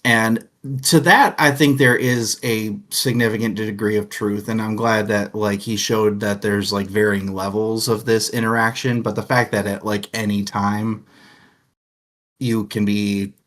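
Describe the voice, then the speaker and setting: A man's voice: on the low side, steady tone, unhurried, fairly bright tone. A man co-hosting a casual book discussion, talking in a rambling, thoughtful way with plenty of filler words. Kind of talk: casual chat.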